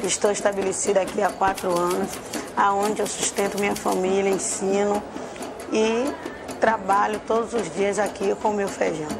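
A woman's voice: mid-range voice, fairly even intonation, moderate pace, creaky. A woman with a Bahian Brazilian accent introducing herself as a restaurant owner. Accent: Bahian Brazilian Portuguese. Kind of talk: documentary self-introduction. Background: music.

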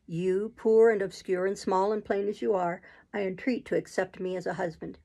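A woman voicing a brooding, imperious gentleman in love, speaking in urgent, commanding declarations for a storybook. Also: high voice, fairly even intonation, moderate pace, creaky. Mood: pleading, tormented.

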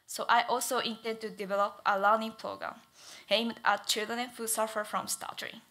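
A young woman presents her thesis at a lectern microphone on a stage, not speaking in her first language. Her delivery is careful and halting, with many fillers. Her voice is bright and clear at an ordinary pitch. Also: little pitch movement. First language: not English. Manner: stutters, careful with frequent fillers. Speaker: student presenter. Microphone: lectern mic.

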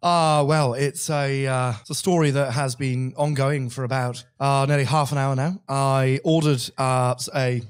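A man's voice: medium pitch, fairly even intonation, normal speed, hardly any pauses, clear, resonant tone, natural voice. A man impersonating a brash, irritable British celebrity chef, clipped, bossy and sweary, on a prank call. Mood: exasperated. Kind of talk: prank phone call impression. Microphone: large studio microphone in a small room.

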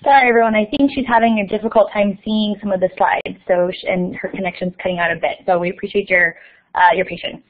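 A woman's voice: medium pitch, fairly even intonation, fast talker. A woman moderating an online session who apologizes to the audience and explains a technical delay. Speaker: conference moderator. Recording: tinny phone-like call audio.

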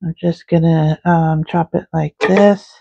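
Slow, easygoing woman cooking at home. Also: low voice, gravelly, slightly rough, highs cut off. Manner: slow, relaxed step-by-step talk with fillers. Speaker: home cook, channel host. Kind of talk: casual cooking narration. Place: home kitchen, indoors.

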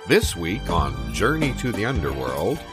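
A man's voice: low voice, very animated, a little slow, over music. A man playing a polite, earnest talk show host with formal phrasing in an improvised mock interview.

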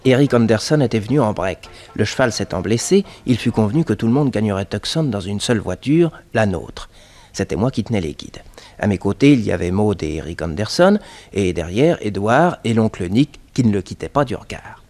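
An actor playing a detective's assistant, a composed storyteller narrating a radio adventure serial. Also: male voice, on the low side, lively intonation, a little fast, darkish tone, close to an everyday voice. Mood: measured, suspenseful.

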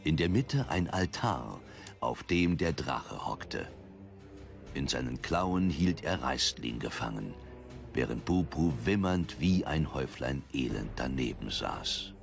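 A man's voice: very deep voice, sing-song, slow, creaky, faint music behind, heavily acted. A man narrating a fantasy radio drama as a grave storyteller.

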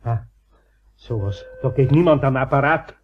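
A man's voice: mid-range voice, animated, normal speed. A man with a Bavarian accent acting an exasperated, scolding older master carpenter in a children's radio play. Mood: worried, grumbling. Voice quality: husky. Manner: scolding, muttering, folksy. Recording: dull, muffled cassette sound.

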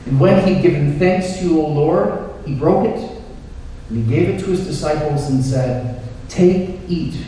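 Male priest, solemn liturgical recitation, mellow tone, measured delivery, very reverberant church, formal. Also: fairly high pitch. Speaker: Anglican priest. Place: inside a church at the altar. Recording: echoey church hall, distant, faint noise.

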